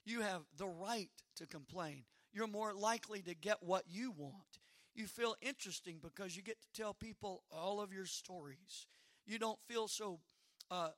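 Hoarse high-voiced preacher man. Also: a bit bright, no room sound, clean studio sound. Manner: preaching with slow emphatic phrases and rising calls. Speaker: pastor. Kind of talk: sermon.

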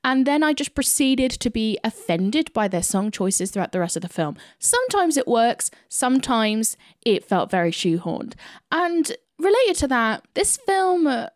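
A woman's voice: fairly high pitch, very animated, unhurried, no echo. British woman reviewing films, dry close sound. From United Kingdom. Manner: opinionated, chatty. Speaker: film podcast host. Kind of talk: conversational film review.

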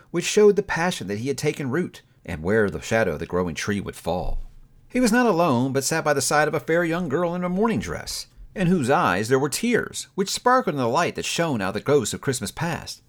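A man narrating a classic story aloud in an expressive audiobook reading style. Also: medium pitch. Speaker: book reviewer reading aloud.

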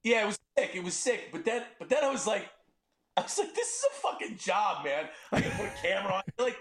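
A man's voice: high-pitched, roomy sound, slight background noise. A man in his forties, a podcast guest talking casually and animatedly, joking and swearing about business and being a dad. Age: 40s. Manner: animated, swearing, quick to joke. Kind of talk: casual interview chat.

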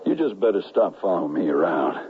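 A guarded conspirator telling his story in a western radio drama. His voice is low and lively in intonation, on a dull vintage broadcast recording. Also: fast, noisy background. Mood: wary, irritated. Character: wary, evasive male conspirator narrating his own western tale. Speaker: narrator and lead character. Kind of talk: old-time radio drama narration and dialogue. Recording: dull, band-limited 1970s radio broadcast.

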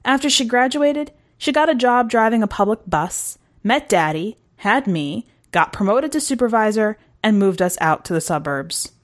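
A woman's voice: fairly high pitch, expressive, normal speed, hardly any pauses. A woman reading a first-person short story aloud in a conversational, wry way. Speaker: story narrator.

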